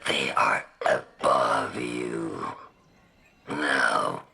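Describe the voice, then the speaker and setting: An actor voicing a cold, haughty, otherworldly villain in a sci-fi video game, speaking in a measured, formal, imperious way. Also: male voice, pushed and bright, exaggerated voice. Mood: cold disdain. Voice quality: very deep, gravelly.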